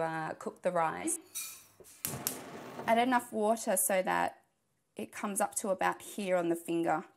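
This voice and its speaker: Australian woman, 30s, home cook contestant, chatty with fillers, mid-pitched, lapel mic. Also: vocal fry, slight background noise. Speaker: cooking show contestant. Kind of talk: casual explanation while cooking. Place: home kitchen indoors, later a restaurant kitchen.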